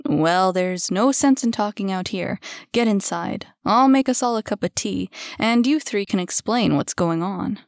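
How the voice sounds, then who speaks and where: A woman's voice: mid-range voice, measured pace, few pauses, dry recording, clean studio sound, lightly acted. Fields: Figure: fussy stern man voiced by a woman. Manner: flustered.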